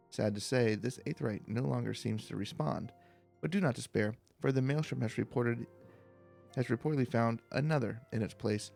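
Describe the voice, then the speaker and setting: A man narrates a calm video game playthrough, reading the story text aloud. His mid-pitched voice has a creaky, gravelly edge. He keeps a relaxed manner, with occasional stumbles, over faint music. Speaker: let's play streamer.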